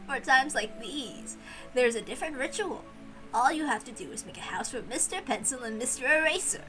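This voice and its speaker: Kindly servant woman played by a female reader, playful and coaxing, explaining gently in visual novel style over music. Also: sits high, animated, normal speed, low-quality recording. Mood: playful, instructive. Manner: gentle, coaxing, chuckling storyteller.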